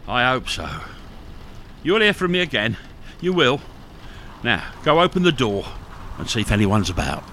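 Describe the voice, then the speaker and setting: A man's voice: mid-range voice, sing-song, moderate pace, sharp tone. A man playing a hunted, hardened smuggler in a radio thriller, terse, commanding and evasive. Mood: urgent, commanding. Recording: noisy radio drama with rain sounds.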